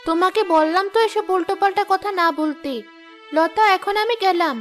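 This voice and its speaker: A woman's voice: very high voice, a little fast. A woman voicing a hardworking, worried village wife and mother in a cartoon, earnest and caring. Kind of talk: Bengali fairy-tale cartoon voice acting. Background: music.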